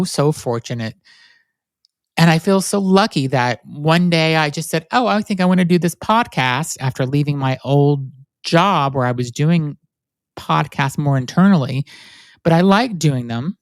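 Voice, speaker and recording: A man's voice: fairly high pitch, smooth, dry recording, clean studio sound. A man with a nasal voice hosting his own podcast, talking candidly and rambling with many fillers and restarts. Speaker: podcast host. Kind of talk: solo reflective podcast monologue.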